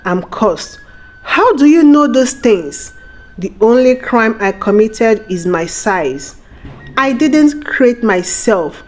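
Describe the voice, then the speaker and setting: A woman's voice: a little high, lively intonation, slow. A woman voicing a wronged young village outcast, anguished yet dignified, in a plaintive, solemn folktale delivery. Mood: wounded, firm.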